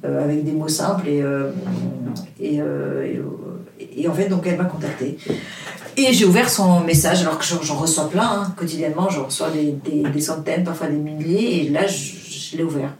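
A woman's voice: low-pitched, expressive, normal speed, darkish tone, a little hiss or hum. Woman, roomy distant sound. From Portugal. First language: Portuguese. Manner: hesitant, many fillers and restarts, earnest. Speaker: author, interview guest. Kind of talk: casual interview chat.

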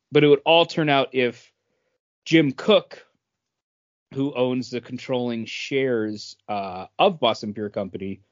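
A man co-hosting a casual podcast, explaining industry news in a halting, stop-start way. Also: lowish voice, no room sound, lo-fi sound. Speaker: podcast co-host.

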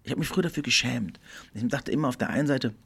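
A middle-aged man hosting a podcast, speaking in a thoughtful, earnest monologue that often slows and drops almost to a whisper. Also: medium pitch, vocal fry, a bit bright, husky. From Germany. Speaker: podcast host. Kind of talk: reflective solo podcast monologue.

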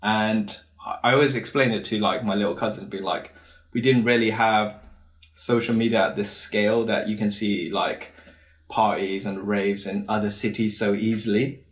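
A man's voice: on the low side, steady tone, normal speed. A young man from Wales, chatting casually and rambling through stories with lots of fillers. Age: young adult. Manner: rambling storyteller, many fillers and restarts. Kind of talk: casual chat.

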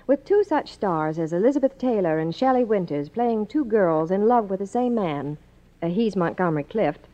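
A woman's voice: medium pitch, animated, normal speed, mellow. A woman spokesperson delivering a scripted soap advertisement in 1950s radio style. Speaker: commercial spokeswoman. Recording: muffled 1950s radio broadcast.

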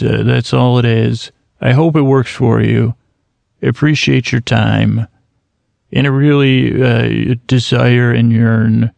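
A man in his thirties drifts through a rambling bedtime monologue with a heavily gravelly, fry-laden voice. His delivery stays flat and unvaried, full of restarts and fillers, close on a dry mic. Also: mid-range voice, no echo. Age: 30s. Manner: slow, meandering, halting with many restarts and fillers. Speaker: sleep podcast host.

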